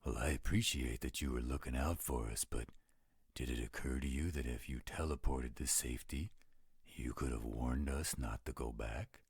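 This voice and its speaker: Werewolf for audio drama, male actor: blunt and protective, bass growl full of fry, dry recording. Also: moderate pace, no room sound, exaggerated voice. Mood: protective, measured. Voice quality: gravelly, raspy. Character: gruff, protective male werewolf, laid-back with loved ones. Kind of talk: roleplay audio drama acting.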